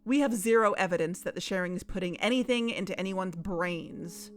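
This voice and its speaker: A woman's voice: mid-range voice, brisk, close to an everyday voice. A woman playing a wary, determined young woman, earnest and confrontational, in a naturalistic audio drama. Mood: exasperated, accusing.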